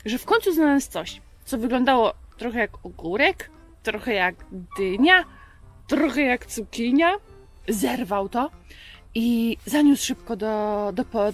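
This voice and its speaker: A woman in her thirties hosting a children's Bible show, telling stories in simple, conversational words with pauses. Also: a little high. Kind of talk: storytelling to a puppet for children. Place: outdoors in a garden.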